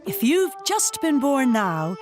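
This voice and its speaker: A woman presenting a documentary, narrating in a clear, measured, guiding way. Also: sits high, very animated. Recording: music bed under narration.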